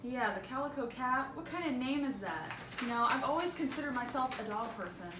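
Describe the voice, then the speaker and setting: A woman's voice: high voice, lively intonation, brisk. A young woman doing an amateur dramatic reading of a script as a daughter, in a room at home. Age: young adult. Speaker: student scriptwriter and amateur reader. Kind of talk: dramatic script read-through, playing a daughter. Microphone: camera a few feet away. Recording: distant echoey room mic, clear noise.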